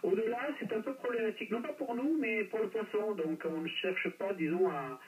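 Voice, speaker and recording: High-pitched man chatting over amateur radio. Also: a little fast. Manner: hesitant phrasing with constant "hein" tags. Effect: radio transmission. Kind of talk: casual radio chat about a hobby. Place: playback from speakers captured by a phone. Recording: radio reception re-recorded off speakers, distant.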